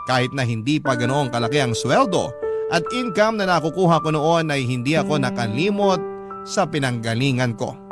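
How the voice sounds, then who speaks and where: A man with a Filipino accent reading a first-person letter as dramatic, sentimental scripted narration. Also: on the high side. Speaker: radio storyteller reading a letter. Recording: music playing behind the narration.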